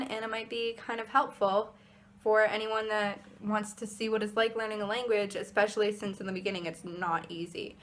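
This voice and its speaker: A woman's voice: mid-range voice, fairly bright tone. A young American woman in her twenties, a college student, talking casually to camera in a rambling vlog with many fillers and restarts. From United States. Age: 20s.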